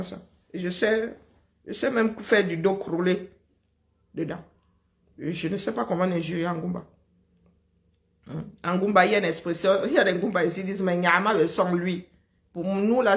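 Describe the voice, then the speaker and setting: Lively Cameroonian woman, laughing storyteller. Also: fairly low pitch, gravelly. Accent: Cameroonian French. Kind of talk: casual chat.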